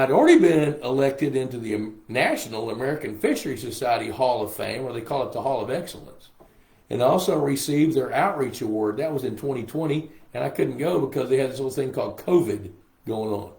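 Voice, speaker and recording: An older man, a little hoarse, chatting casually with livestream viewers in a folksy way. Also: mid-range voice, darkish tone. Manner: chatty, folksy, reads viewer comments aloud. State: hoarse from a lingering cough. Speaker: host. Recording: roomy echo on a live stream.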